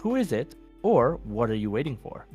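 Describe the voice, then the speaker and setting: A man's voice: mid-range voice, very animated, normal speed, darkish tone, soft background music. A man hosting a podcast in a casual, chatty style, as a quizmaster.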